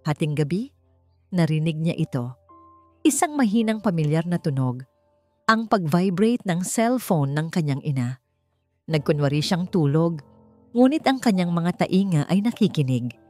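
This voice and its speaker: A woman narrating a dramatic story from a script in a measured, suspenseful way. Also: on the low side, big pitch swings, darkish tone, soft background music. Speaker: audiobook narrator.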